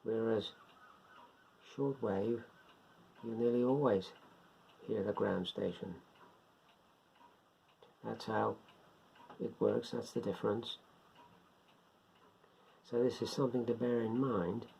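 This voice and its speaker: A man giving an informal, unscripted beginners' explainer in a rambling, step-by-step way, repeating himself, with a gravelly, husky voice. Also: on the low side, steady tone, slow, pauses often, darkish tone, slight background noise. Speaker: hobbyist presenter of a beginners' guide. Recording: dull lo-fi home recording.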